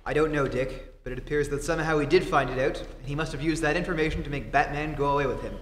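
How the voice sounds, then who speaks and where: A man playing a calm, inquisitive investigator and mentor in an old-time radio play on a theatre stage. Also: a little high, fast, slight background noise, close to an everyday voice. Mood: puzzled. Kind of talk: 1940s radio acting. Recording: echoey theatre hall.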